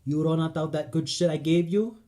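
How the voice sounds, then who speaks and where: A man's voice: on the high side, moderate pace, warm tone, voice pushed up, natural voice. A man playing a brash little street drug pusher. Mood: cocky, needling. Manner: brash, slangy. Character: cocky foul-mouthed little Puerto Rican male drug pusher.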